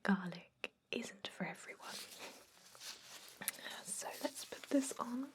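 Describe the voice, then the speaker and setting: A woman playing a chatty but gently stern vampire dentist in a whispered ASMR roleplay, rambling in a confiding way with a breathy voice. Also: on the high side, animated, slow pace. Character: chatty long-lived female vampire dentist, garlic-obsessed, gently stern. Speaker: ASMR performer.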